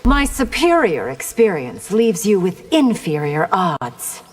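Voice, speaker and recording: Haughty, expressive warrior commander. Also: female voice, mid-range voice, takes it slowly, big-room sound. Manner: haughty, cool, formal. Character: proud disciplined female army commander, haughty ancient fighter. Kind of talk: video game character acting.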